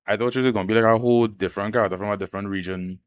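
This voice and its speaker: A man's voice: on the low side, steady tone, rapid-fire, smooth. A man giving casual game commentary as a streamer, with informal, slangy reactions. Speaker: gaming streamer.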